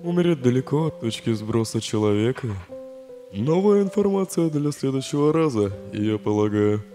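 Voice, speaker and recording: A man's voice: medium pitch, lively intonation, normal speed, mellow, lightly acted. A man voicing a weary, sardonic, protective skeleton in a comic dub, with a subdued, dry, sighing delivery. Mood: wry, puzzled. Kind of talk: dramatic fan comic dub. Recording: long hall reverb, faint music behind.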